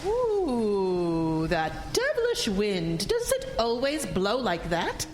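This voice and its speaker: A woman's voice: medium pitch, huge pitch swings, takes it slowly, background noise. A woman acting in a radio drama as a prim, wealthy, pious spinster with a formal, imperious and sanctimonious manner. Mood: prim, assured.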